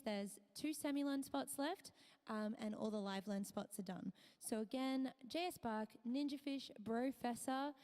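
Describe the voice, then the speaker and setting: A woman streamer chatting casually with her viewers, her voice hoarse and tired, half whispering. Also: fairly high pitch, darkish tone, in a large hall. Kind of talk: casual live-stream chat.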